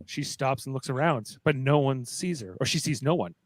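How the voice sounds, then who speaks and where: A man's voice: mid-range voice, moderate pace, no room sound. A man narrating stage directions in a playful, dramatic way for a live fan script reading.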